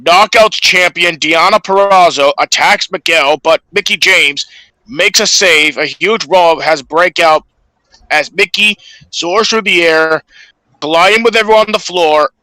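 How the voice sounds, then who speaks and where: A man with a sharp, forceful, fairly high voice recaps matches on a podcast. His delivery is slow and halting, and the sound is close and dry. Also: no echo, rough recording. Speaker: podcast co-host. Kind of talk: casual wrestling match recap.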